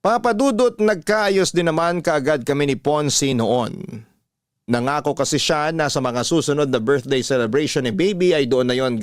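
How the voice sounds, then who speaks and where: A man's voice: mid-range voice, no room sound, studio quality. A man reading a listener's letter aloud in the first person on a radio story show, earnest and confiding. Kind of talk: scripted first-person narration.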